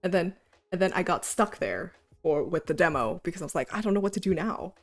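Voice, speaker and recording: A woman talking casually on a livestream about her animation sketches. She is chatty and self-deprecating, and her pitch rises and falls a lot. Faint music plays quietly under her voice. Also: animated, brisk.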